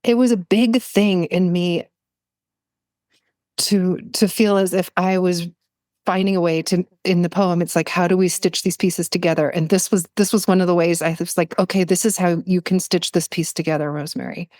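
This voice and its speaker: Lower-pitched woman, earnest storyteller. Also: steady tone, normal speed, a little mellow, dry recording. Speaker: podcast guest, poet. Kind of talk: reflective conversational storytelling.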